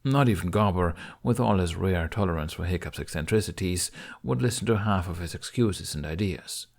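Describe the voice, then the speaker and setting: A man with a fairly low voice narrates an audiobook. He reads scripted fiction expressively, as a storyteller. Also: normal speed, vocal fry.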